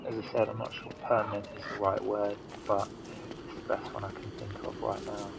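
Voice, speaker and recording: A man's voice: fairly low pitch, monotone, normal speed, creaky, noisy background. A young man commentating his game in a casual, hesitant way, a bit stuffy from hay fever. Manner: hesitant, many ums and restarts. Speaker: gamer commentating his own play. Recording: loud game music behind.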